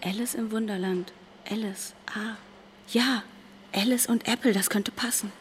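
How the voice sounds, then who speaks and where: A woman's voice: medium pitch, steady tone, measured pace, slight background noise, close to an everyday voice. A woman playing a pensive, musing granddaughter of a war veteran in a radio drama. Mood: thoughtful. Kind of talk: radio drama acting and narration.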